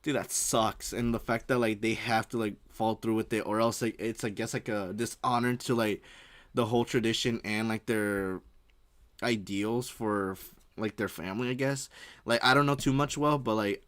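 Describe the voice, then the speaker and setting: A man's voice: lowish voice, steady tone, normal speed, a bit bright. A young man streaming a casual reaction, rambling and filling his talk with "like" and "dude". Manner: rambling, heavy use of "like" and "dude".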